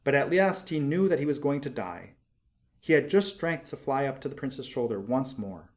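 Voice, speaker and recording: A man narrating a literary story expressively, with measured, deliberate phrasing. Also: mid-range voice, very animated. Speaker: audiobook narrator.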